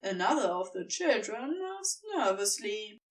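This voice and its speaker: A woman's voice: mid-range voice, sing-song, unhurried, recorded from a distance. A woman reading scripted story narration as the narrator of an audio fiction piece.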